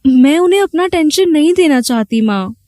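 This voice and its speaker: A woman's voice: high-pitched, animated, moderate pace, darkish tone, dry recording. A woman playing a lonely, affectionate young wife in a dramatized story. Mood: lonely, upset.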